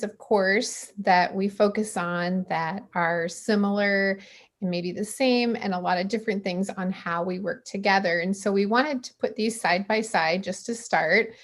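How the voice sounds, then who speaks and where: A school librarian from Iowa opening and hosting a webinar in an upbeat, welcoming way. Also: female voice, medium pitch. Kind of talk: webinar presentation.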